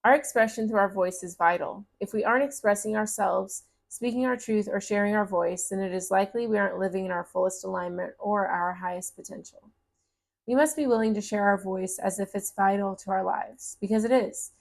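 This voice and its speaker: Gentle encouraging woman reading tarot. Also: mid-range voice, a little fast, vocal fry. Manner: gentle, encouraging, reads card text aloud. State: voice getting raspy. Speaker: tarot reader. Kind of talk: casual tarot reading to camera.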